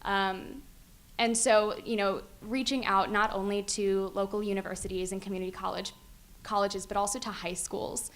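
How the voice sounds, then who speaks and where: A woman's voice: mid-range voice, steady tone, normal speed, fairly bright tone. A young woman on a conference panel, answering thoughtfully with frequent ums and easy laughter. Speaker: youth activist panelist. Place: conference hall stage. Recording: faint background noise.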